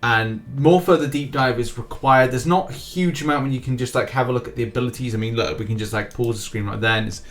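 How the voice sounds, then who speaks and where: A man's voice: medium pitch, fast, hardly any pauses, fairly bright tone. A man hosting a gaming news channel, giving casual, informal and enthusiastic commentary on game updates.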